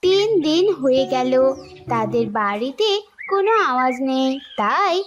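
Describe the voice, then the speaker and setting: A woman's voice: high-pitched, sing-song, measured pace, muffled recording, faint music behind. A woman narrating a scripted children's cartoon story.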